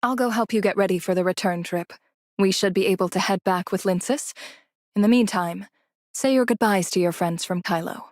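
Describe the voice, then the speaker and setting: A woman playing a poised, authoritative mentor in an anime game, deliberate and instructive in her delivery. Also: medium pitch, no room sound, studio quality, natural voice. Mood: assured.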